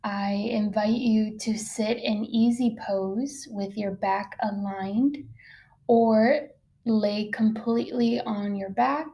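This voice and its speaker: Female meditation guide; very relaxed, dark-soft tone, little pitch movement, gentle instructional delivery. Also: creaky. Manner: soft-spoken, unhurried, with long pauses. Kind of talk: guided meditation, slow soothing narration.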